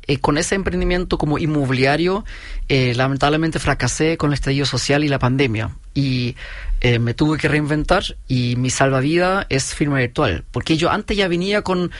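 A man in his forties from Chile, raised in Germany, with a German-tinged Chilean Spanish accent, explaining his company as a guest on a radio show, measured and with frequent fillers. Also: on the high side, no room sound, clean studio sound. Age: 40s. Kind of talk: radio interview. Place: radio studio.

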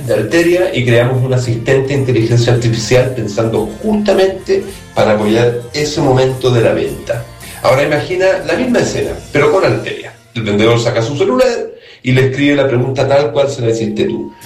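A Chilean startup CEO giving a scripted, persuasive pitch and speaking straight to the listener. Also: male voice, a little mellow, echoey room, recorded from a distance. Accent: Chilean Spanish.

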